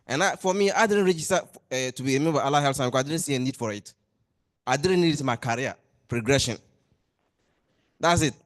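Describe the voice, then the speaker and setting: A man's voice: mid-range voice, fast talker, pauses often. A man, a lecturer raising an earnest complaint at a meeting and pressing his questions. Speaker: lecturer and association member. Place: meeting hall.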